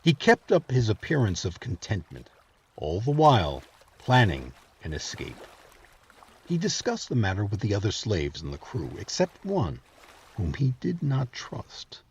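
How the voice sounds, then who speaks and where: A man narrating scripted history as a series host, with a dramatic, measured storytelling delivery. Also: on the low side, huge pitch swings, a little hiss or hum.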